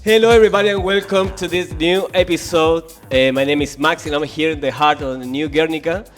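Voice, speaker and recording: A man's voice: a little high, fairly even intonation, soft background music. Man with a Spanish accent hosting an interview. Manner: halting English, searching for words. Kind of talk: interview host.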